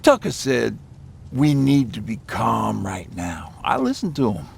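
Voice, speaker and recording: An actor playing a weathered survivor who recalls hard times in a halting, reflective way, with a gravelly, husky voice, in a naturalistic video game style. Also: male voice, fairly low pitch, very animated, slight background noise, exaggerated voice. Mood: somber, reflective.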